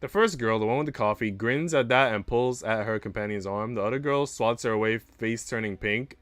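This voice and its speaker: A man's voice: on the low side, a little fast, a bit bright, no echo. A man livestreaming, reading story narration aloud and adding slangy, playful casual commentary.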